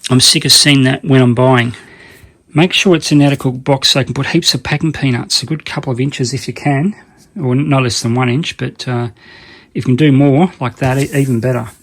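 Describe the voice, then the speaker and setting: A man's voice: mid-range voice, steady tone, brisk, a bit bright. A man presenting a casual how-to demonstration in a rambling, colloquial way, often repeating himself for emphasis. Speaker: hobbyist video presenter.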